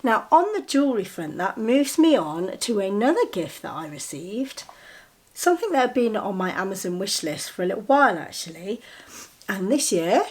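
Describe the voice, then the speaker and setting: A woman's voice: mid-range voice, very animated, moderate pace. Chatty woman with a cold. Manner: chatty, enthusiastic, with soft giggles. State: a little bunged up with a cold. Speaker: vlogger showing gifts. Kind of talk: casual show-and-tell to camera.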